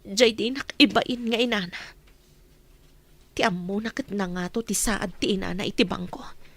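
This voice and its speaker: A woman's voice: medium pitch, normal speed, lightly acted. A woman with an Ilocano accent playing a wronged mother bent on revenge in a radio drama, speaking with intensity. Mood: determined. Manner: determined, intense.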